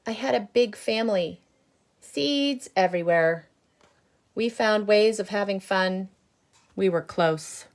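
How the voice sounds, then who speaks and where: A grumpy little male seed from a picture book, acted out by a woman, confesses his badness with some pride. The delivery is slow and emphatic, stretching out the key words. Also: mid-range voice, pitched above the performer's own voice. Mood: resigned, wistful. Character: boastful, grumpy little male sunflower seed, self-declared bad. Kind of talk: picture-book character read aloud.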